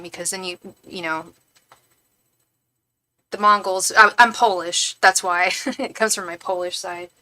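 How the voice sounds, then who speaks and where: A woman's voice: on the low side, sharp tone, poor audio. A woman hosting a live tarot stream, talking in a casual, rambling, effusive way as if to a close girlfriend.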